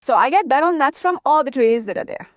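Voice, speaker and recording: A woman's voice: high voice, expressive, brisk, no echo, studio quality. A woman, an interview guest telling personal stories and acting out quoted family dialogue as she goes. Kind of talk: personal storytelling.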